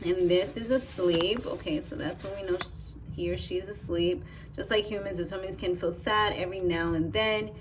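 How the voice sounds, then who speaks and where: Woman, West Indian and Bronx accent mix, slightly low voice, excitable, casual unboxing chat. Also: normal speed, few pauses, noisy background. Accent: West Indian and Bronx New York mix. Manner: chatty and excitable, reads instructions aloud with asides. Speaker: vlogger.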